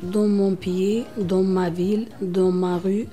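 A woman's voice: medium pitch, flat delivery, takes it slowly, mellow. A woman poet reciting her verse.

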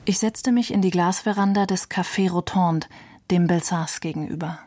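A woman's voice: fairly low pitch, normal speed, dark tone. A woman giving a scripted literary reading of memoir and novel passages. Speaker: reader of literary quotations.

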